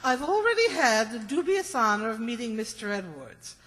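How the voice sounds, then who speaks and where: A woman's voice: a little high, big pitch swings, moderate pace, fairly bright tone. A woman playing a haughty, scornful busybody matron, pompous and indignant, in an old-time radio comedy. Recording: reverberant hall stage recording.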